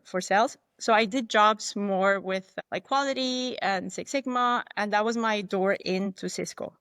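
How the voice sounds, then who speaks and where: A woman's voice: medium pitch, a bit bright, no echo, clean studio sound. A woman whose first language is not English, a guest telling her story in a candid, thoughtful way, often restarting and quoting herself. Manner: candid, thoughtful, frequent restarts and self-quotes. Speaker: interview guest. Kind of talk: reflective conversational storytelling.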